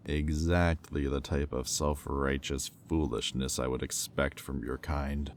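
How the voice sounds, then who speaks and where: A man playing a smug, predatory demon in a roleplay audio drama, teasing and taunting in a silky manner with deliberate pauses. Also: rumbling low, steady tone, a little hiss or hum. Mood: scornful. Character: smug, predatory male demon toying with a captive angel.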